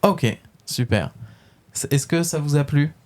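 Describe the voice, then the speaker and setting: A man's voice: on the high side, a little slow, a little mellow. A man with a French accent hosting a casual webradio interview, hesitant and full of fillers but friendly.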